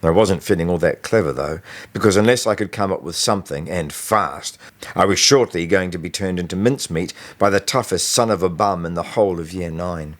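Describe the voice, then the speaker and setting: Deep-voiced man narrating an audiobook. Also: fairly quick. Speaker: author reading own novel.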